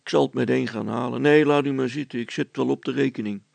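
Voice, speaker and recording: A deferential village butcher from a children's storybook, acted by a man. He speaks hesitantly and obligingly in a husky, creaky voice, stumbling over his words. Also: mid-range voice, fairly even intonation, fast talker, a little mellow, dry recording, pitched above the performer's own voice. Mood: puzzled, obliging.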